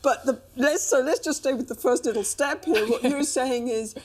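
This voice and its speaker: A woman playing an encouraging couples therapist in a session dialogue, gently probing, rephrasing and checking that she has understood. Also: high-pitched, moderate pace.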